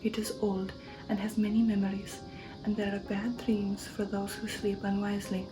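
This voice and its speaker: Woman softly playing a grave, courteous vampire count. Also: medium pitch, level tone, far from the mic, over music, natural voice, rough recording. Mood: grave warning. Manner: formal, grave, deliberate. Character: courteous, ominous male vampire count giving warnings.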